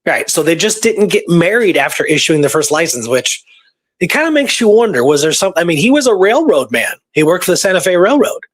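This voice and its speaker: A man's voice: high voice, very animated, fairly quick. A man hosting a history talk, telling stories casually and going through dates and records in detail. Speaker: podcast host presenting historical research. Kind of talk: casual storytelling conversation.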